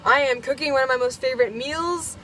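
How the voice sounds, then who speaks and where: A woman's voice: high-pitched, fairly quick, fairly bright tone, faint music behind, low-quality recording. A young woman from Kentucky, chatting casually while cooking in her camper. She is bubbly and giggly and fills her talk with "like" and "um". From Kentucky, USA. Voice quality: bubbly, giggly, lots of fillers. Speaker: vlogger. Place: camper kitchen.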